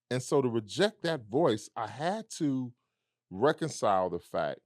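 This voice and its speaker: A man with a deep baritone voice, reflecting on his past as a guest in a casual conversation and acting out remembered dialogue. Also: darkish tone, no echo, studio quality. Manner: reflective, storytelling with quoted dialogue. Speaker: musician guest.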